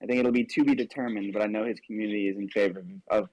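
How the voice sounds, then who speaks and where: A man's voice: lowish voice, fairly even intonation, rapid-fire, a little mellow, no echo, rough recording. A man hosting a casual interview, friendly and rambling with many fillers. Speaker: interview host.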